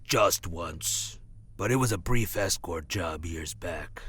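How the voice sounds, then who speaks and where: A man acting as a dry-witted undercover government agent in an audio drama, measured and a little sardonic. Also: sits low, fairly bright tone, husky, exaggerated voice. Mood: cool, reassuring. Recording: faint background ambience noise.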